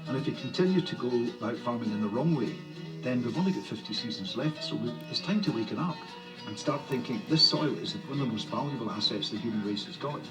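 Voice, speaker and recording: A farmer speaking earnestly in a documentary video. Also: male voice, mid-range voice, lively intonation, fast, poor audio. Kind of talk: documentary interview. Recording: video clip with music.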